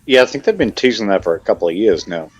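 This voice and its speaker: A man's voice: lowish voice, lively intonation, fast, muffled recording, faint music behind. A man co-hosting a podcast in casual chat, making brief, agreeable interjections. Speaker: podcast co-host.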